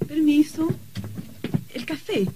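A woman's voice: high-pitched, slow pace. An actress playing a polite woman in a wealthy household, in old radio drama style. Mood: polite, deferential. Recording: noisy vintage radio recording.

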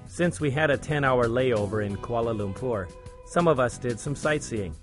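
A man's voice: medium pitch, lively intonation. Man narrating over background music. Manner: plain, measured, informative. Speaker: church volunteer narrating a mission video. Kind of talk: scripted documentary voice-over.

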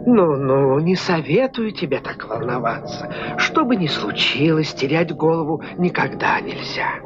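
An actor voicing a friendly, philosophical little snail in a dubbed cartoon, calming and deliberate. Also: male voice, fairly high pitch. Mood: calm, wry. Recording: muffled old film soundtrack with music.

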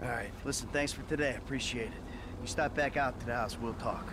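An actor playing a gruff, profane, sarcastic middle-aged American retired criminal and father in a video game cutscene. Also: mid-range voice, fairly even intonation, quick pace, natural voice. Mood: weary, subdued. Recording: noisy vehicle ambience behind voice.

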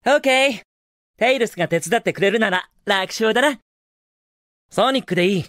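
Casting: cool, cocky young male hedgehog hero for a video game. Brash, confident, highly animated intonation, dry studio sound. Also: moderate pace, no echo. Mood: confident, casual. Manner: confident, casual, brash delivery. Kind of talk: Japanese video game voice acting, short clips.

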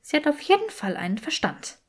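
Lady doing a smart earnest young witch, bit high, teasing, fantasy audiobook. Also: sing-song, brisk.

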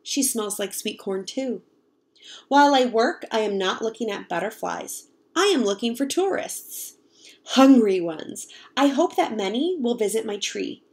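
Woman reading as an excitable, high-voiced young girl. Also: unhurried. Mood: hopeful, wistful. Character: excited, hopeful young girl narrating a picture-book story.

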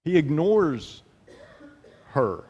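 Earnest pastor, slightly high, reverberant hall. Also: male voice, very animated, very slow, a little mellow, breathy edge, muffled recording. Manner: earnest, emphatic, repeats phrases for effect. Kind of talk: sermon. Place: large church sanctuary, at a pulpit. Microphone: headset mic.

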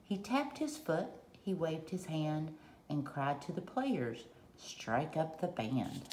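A woman reading a children's picture book aloud in short, deliberate rhyming phrases, recorded at a table at home. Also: low-pitched, sing-song, darkish tone. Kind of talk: read-aloud storytelling. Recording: roomy echo, faint background noise.

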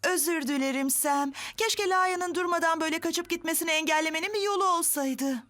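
Fretful, very high-voiced cartoon mother. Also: brisk, a bit bright. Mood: distressed. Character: worried, fretful mother of young twins. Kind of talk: animated children's cartoon dub.

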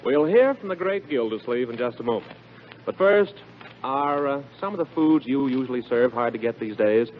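A man's voice: medium pitch, animated, normal speed, music in the background. A man announcing on 1940s radio with a polished, emphatic sales delivery. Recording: narrow-band noisy 1940s radio recording.

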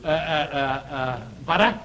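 A man's voice: fairly high pitch, a little slow. A man on a television game show panel, calling out short charades guesses. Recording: old TV soundtrack, loud studio audience. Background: loud audience noise.

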